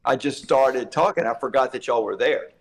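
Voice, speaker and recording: A slightly hoarse man co-hosting a relaxed chat. His pitch sits in a middling range, and he rambles, piling up thoughts as they come. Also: moderate pace. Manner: rambling, thinks aloud. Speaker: co-host. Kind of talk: casual chat.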